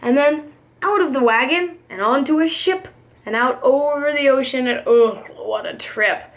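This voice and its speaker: A woman reading a storybook aloud like a preschool teacher, expressive, with emphatic, rhythmic delivery. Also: sits high, normal speed.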